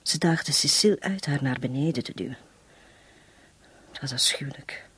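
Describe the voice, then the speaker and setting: A very breathy, husky woman in a radio play. She is bitter and resolute after years of suffering. She confides haltingly and with great intensity, often sinking toward a whisper. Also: extremely low pitch, a bit bright, full character voice. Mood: grave, bitter. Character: long-suffering household woman confessing to murder, bitter yet resolute. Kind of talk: radio drama acting.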